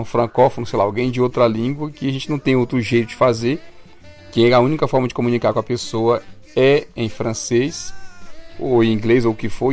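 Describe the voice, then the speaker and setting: A man's voice: mid-range voice, darkish tone. A Brazilian man co-hosting a casual podcast, explaining things at length with a few restarts and corrections. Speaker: podcast co-host.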